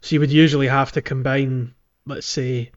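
A British man with a mid-pitched voice talks casually as a podcast host. His delivery rambles and hesitates, and the recording is a little dull and lo-fi. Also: little pitch movement, normal speed. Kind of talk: casual game discussion and chat. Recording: slightly dull, lo-fi audio.

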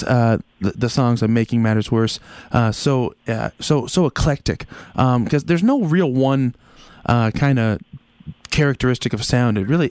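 A man's voice: mid-range voice, a little mellow, no echo. Stammering male radio host. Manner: hesitant, stammering questions. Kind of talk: radio interview.

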